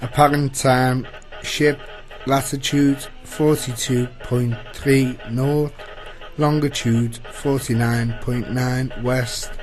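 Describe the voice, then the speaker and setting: A man playing a steamship wireless operator in a radio play, reporting in a formal monotone. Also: medium pitch, slow, faint music behind, lightly acted, poor audio. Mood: flat, formal. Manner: monotone, formal message reading.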